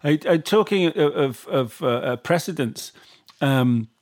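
A man interviewer putting a question in a halting, conversational way. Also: fairly high pitch, animated, darkish tone. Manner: hesitant, halting phrasing.

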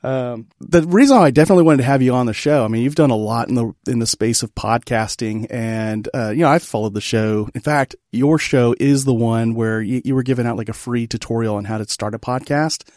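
Male podcast host, playful and self-mocking, mid-pitched voice, dry close-miked recording. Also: a little fast, few pauses, a little mellow, no echo. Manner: joking, self-deprecating, laughs often. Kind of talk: casual podcast interview.

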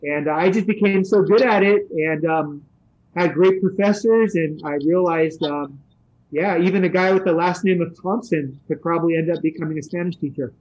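A middle-aged man with a notably high voice tells his story in a loose, rambling way. He works as a Spanish teacher and grew up in the San Francisco area. He pauses often and fills gaps with "um" and "uh.". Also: a little mellow, husky, dull audio. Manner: rambling, many fillers and restarts. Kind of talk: casual interview answers.

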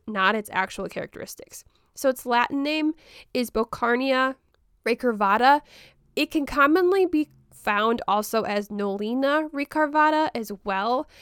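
A young woman hosts a relaxed solo podcast in a fairly high, smooth voice, still hoarse after the flu. She rambles chattily with ums and small laughs, recorded close and dry with no room sound. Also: dry recording. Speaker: podcast host. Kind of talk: casual solo podcast about houseplants.

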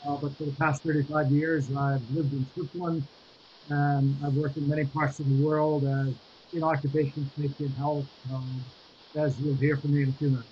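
An older man with a Boston accent speaks in a slightly raised register. He talks in a measured, hesitant way, full of ums and restarts. He comes across as an interview guest telling his story. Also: fairly high pitch, flat delivery, highs cut off, a little hiss or hum. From Boston, United States. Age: 60s. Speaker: guest, occupational safety expert. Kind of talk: casual interview.

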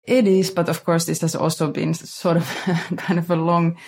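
Low gravelly woman, thoughtful. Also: level tone, darkish tone. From Finland. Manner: thoughtful, halting, many restarts and fillers. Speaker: guest choreographer. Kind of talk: relaxed interview answers. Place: Berlin.

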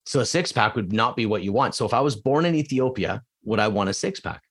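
A middle-aged man from Canada, a coach being interviewed, talking emphatically with rhetorical questions and swings between excited and quiet reflection. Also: mid-range voice, fast talker. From Canada (Edmonton). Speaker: real estate coach, guest. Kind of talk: casual podcast interview.

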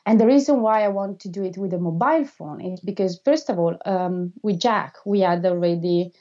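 A woman in her thirties from Italy with an Italian accent, answering interview questions as a filmmaker, pausing often with filler sounds and non-native phrasing. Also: fairly low pitch, dark tone, lo-fi sound.

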